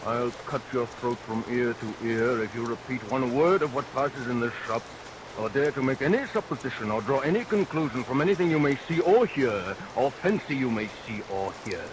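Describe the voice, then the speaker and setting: Man to play tyrannical demon barber: silky threats, Victorian melodrama, medium pitch, old radio under rain noise. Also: expressive, moderate pace, natural voice. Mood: threatening. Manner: oily mock-politeness turning to sharp threats. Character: menacing, tyrannical villain barber and master.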